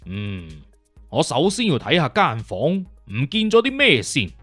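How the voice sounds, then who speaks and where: A man's voice: fairly high pitch, wildly swooping pitch, a little slow, fairly bright tone, dry recording, faint music behind, full character voice. A man playing a witty, conceited detective consultant in an audiobook, with a Cantonese accent and an emphatic, lecturing, self-assured manner. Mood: musing, investigating. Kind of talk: audiobook character acting.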